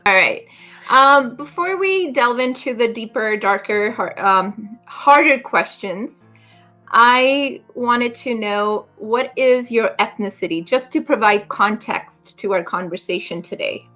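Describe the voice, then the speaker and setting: A woman's voice: fairly high pitch, animated, slow pace, pushed and bright, faint music behind. A young woman hosting an interview, effusive and complimentary toward her guests. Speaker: interview host. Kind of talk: friendly interview chat.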